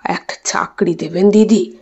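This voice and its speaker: A woman voicing a meek, remorseful poor man pleading in a hushed whisper in an audio story. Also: on the low side, steady tone, unhurried, husky, highs cut off, pitched below the performer's own voice.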